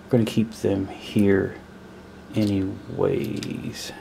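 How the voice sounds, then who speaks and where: A man's voice: low-pitched, little pitch movement, slow pace, creaky, warm tone, slight background noise, low-quality recording. A man playing a war board game at a table, counting pieces aloud and puzzling over the rules as he goes. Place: indoors at a table.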